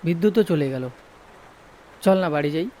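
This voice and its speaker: A man's voice: a little high, expressive, normal speed, dark tone, a little hiss or hum, pitched above the performer's own voice, lightly acted. A man voicing a timid, nervous young man in a horror cartoon, pleading and frightened. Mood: scared, pleading.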